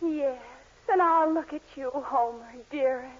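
A muffled vintage radio recording of a lovestruck young woman. Her high voice drawls out each phrase slowly, gushing in exaggerated sitcom romance. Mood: cooing, lovestruck. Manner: cooing, exaggerated. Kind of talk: 1940s radio comedy acting.

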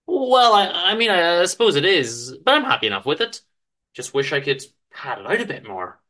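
A man's voice: on the high side, sing-song, moderate pace, sharp tone, voice pushed up. A man voicing a polite, downcast husband who speaks fondly and hesitantly, in a story reading. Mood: bashful. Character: downcast, polite British husband, affectionate writer.

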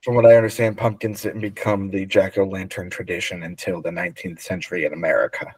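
A man with a slightly low voice runs a casual podcast quiz. He explains things in a measured, conversational way. The recording is dry, with no room sound. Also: little pitch movement, breathy edge, no echo, poor audio. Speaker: podcast co-host, quizmaster.

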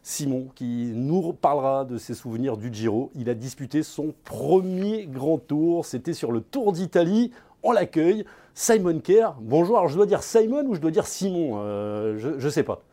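Chatty male interviewer, warm mellow tone. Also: a little high, expressive, moderate pace, few pauses. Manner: chatty, prompting questions. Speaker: host. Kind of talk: interviewer presenting a guest.

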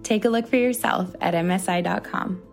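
Female announcer, mid pitch, scripted sponsor read, faint music bed. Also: moderate pace, gravelly.

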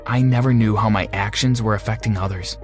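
A repentant teenage bully played by a man tells his story in the first person. The voice is fairly low, earnest and dramatic, with music running underneath. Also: fairly even intonation, a little fast, natural voice. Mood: apologetic.

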